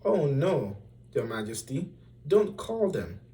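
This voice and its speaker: A man's voice: mid-range voice, very animated, takes it slowly, warm tone, far from the mic, pitched above the performer's own voice. A man voicing a timid, respectful young page in a storybook, anxious, deferential and pleading. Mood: fearful pleading. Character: timid, respectful young male page who cautions his king.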